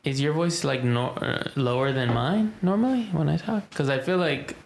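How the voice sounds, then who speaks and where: A man's voice: fairly high pitch, normal speed, vocal fry, reverberant. A young man chatting casually, often hesitating and trailing off mid-sentence. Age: young adult. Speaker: co-host. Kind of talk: casual chat. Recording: faint background noise.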